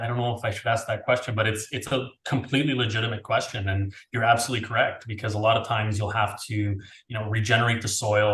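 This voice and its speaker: Low-voiced Canadian man in a roomy space. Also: fairly bright tone. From Niagara region, Canada. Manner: casual, explanatory, chatty. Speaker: winery owner being interviewed. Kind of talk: conversational interview answers. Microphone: video call, earphones. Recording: roomy, echoey video call audio.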